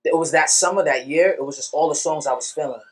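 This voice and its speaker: A man talking as a musician guest on a podcast, telling stories in a relaxed, slangy way. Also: a little high, quick pace, low-quality recording. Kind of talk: casual podcast chat.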